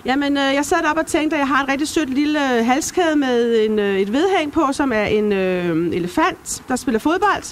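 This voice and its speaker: A woman's voice: high voice, expressive, hardly any pauses. A woman interviewed as a market stallholder, chatting casually and agreeing emphatically. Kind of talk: casual live interview chat. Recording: live market interview with background noise. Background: market ambience.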